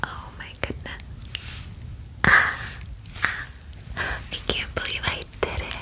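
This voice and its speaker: A woman's voice: slow pace, lightly acted, low-quality recording. Woman as a whispering, friendly dragon girl. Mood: giddy, musing. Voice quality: soft, breathy whisper. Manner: chatty, gushing, hesitant, reassuring. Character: friendly, eager female dragon in cute human form. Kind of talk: whispered ASMR fantasy roleplay.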